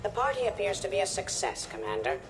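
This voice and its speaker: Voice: medium pitch, very animated, fast, over music, low-quality recording. An actor playing a polite synthetic being in a video game, speaking formally and precisely. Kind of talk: video game character acting.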